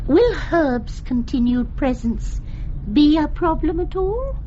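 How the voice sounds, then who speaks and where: An actress playing a polite, quietly determined lady in a radio comedy drama, speaking carefully and deliberately. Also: high voice, very animated, heavily acted. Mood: polite, hopeful. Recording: dull, noisy radio drama recording.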